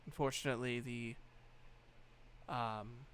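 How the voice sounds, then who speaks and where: A man's voice: mid-range voice, fairly even intonation, fairly bright tone, a little hiss or hum. Man playing Willow, a composed formal officer woman. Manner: measured, polite, official phrasing.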